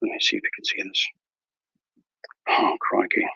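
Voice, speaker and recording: A man playing a bumbling, boastful wilderness ranger who hosts a mock nature show, talking in a mock Australian accent with hushed, mock-serious narration and a husky voice. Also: normal speed, no echo, muffled recording. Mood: hushed bravado. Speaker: mock wildlife show host. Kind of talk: improvised parody nature documentary.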